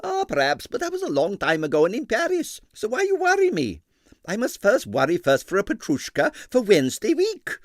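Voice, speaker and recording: A man's voice: high voice, wildly swooping pitch, brisk, no echo, studio quality, pitched above the performer's own voice. A man voicing a sentimental, money-minded ballet impresario in a storybook, effusive and theatrical, with a thick foreign accent and broken English. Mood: indignant, dismissive. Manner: theatrical, effusive, French interjections.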